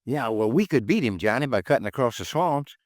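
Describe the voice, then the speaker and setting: An actor playing an eager, rough-spoken male swamp guide with folksy, ungrammatical talk in a 1950s radio drama. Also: medium pitch, lively intonation, brisk, no echo, clean studio sound, close to an everyday voice. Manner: folksy, ungrammatical, says "man" a lot.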